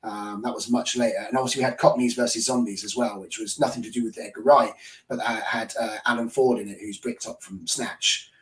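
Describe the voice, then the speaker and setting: A man's voice: fairly low pitch, steady tone, fairly quick, fairly bright tone. A British man, a podcast guest, chatting about films in a rambling way, full of restarts and fillers, over a video call. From United Kingdom. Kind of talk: casual film discussion. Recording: echoey room, distant from mic.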